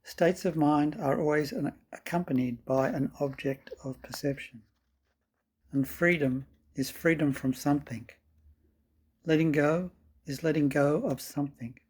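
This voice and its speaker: A man with a slightly husky voice guiding a meditation in calm, measured, deliberate phrases. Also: a little high. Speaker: meditation teacher. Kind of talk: slow guided meditation.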